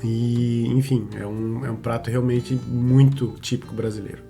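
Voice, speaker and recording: A man co-hosting a casual podcast, talking haltingly with frequent restarts and tag questions. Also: mid-range voice, steady tone, gravelly, over music. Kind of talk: casual conversational podcast chat.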